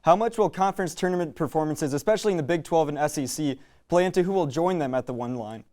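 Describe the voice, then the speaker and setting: Man in his twenties, American accent, slightly high pitch, sports reporting and commentary. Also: quick pace, dark tone. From United States. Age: 20s. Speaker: student sports reporter and play-by-play announcer. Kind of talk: sports reporting, highlight reads and play-by-play commentary.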